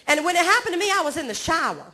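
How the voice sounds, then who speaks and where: A woman's voice: very high voice, sing-song, racing, bright, forceful tone. A woman in her forties from Georgia with a Southern accent, doing a self-mocking stand-up set on a comedy club stage and chatting with the crowd. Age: 40s.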